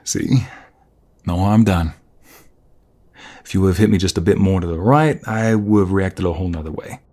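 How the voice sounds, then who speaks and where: A man playing a protective, flirtatious mobster husband in an ASMR roleplay, gravelly and husky, coaxing his partner with affection. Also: sits low, moderate pace, dark tone. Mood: warm, proud.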